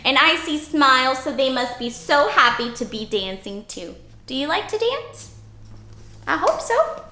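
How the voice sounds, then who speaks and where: High, animated woman reading to kids. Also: unhurried, echoey room. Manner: animated, exaggerated child-directed delivery with gasps and playful questions. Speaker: teacher and story-time host for young children. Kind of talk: interactive children's read-aloud.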